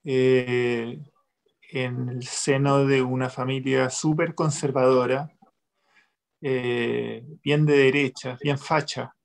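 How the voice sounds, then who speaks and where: A man with a Chilean accent, a film director, answering interview questions over a video call in a relaxed, reflective way. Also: medium pitch, slow, creaky. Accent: Chilean Spanish. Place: home room, video call.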